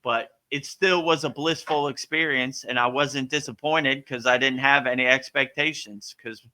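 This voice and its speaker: A man hosting a live spiritual question-and-answer session, talking in a relaxed, rambling way with many fillers. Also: medium pitch, moderate pace. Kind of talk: casual spiritual Q&A.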